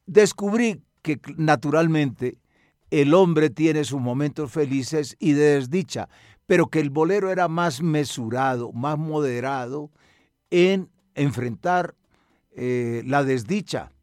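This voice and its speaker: An older man from Colombia with a Colombian accent answers interview questions reflectively, in measured, articulate and rather formal language. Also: fairly high pitch, darkish tone, no room sound, studio quality. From Medellín, Colombia. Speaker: cultural radio broadcaster and music researcher.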